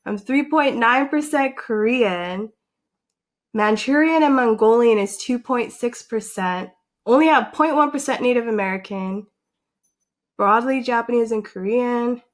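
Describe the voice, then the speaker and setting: A young woman talks slowly and casually to her camera in a fairly high voice. She is animated and excitable, and she fills her speech with likes and ums. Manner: animated, excitable, filler-heavy with frequent likes. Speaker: vlogger. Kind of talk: casual reaction vlog.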